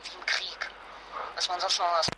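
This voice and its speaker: An actress playing an anxious but determined wife and mother in a naturalistic radio drama, speaking haltingly and with emotion. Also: on the low side, level tone, noisy background, natural voice. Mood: distressed, apologetic.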